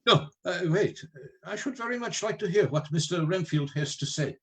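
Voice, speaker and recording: A man playing a learned, commanding vampire hunter in a radio play, with formal, deliberate oratory. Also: high-pitched, lively intonation, fairly bright tone. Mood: calm, probing.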